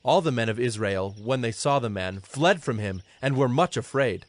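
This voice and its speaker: A man narrating scripture from a script in a measured, formal manner. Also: medium pitch, animated.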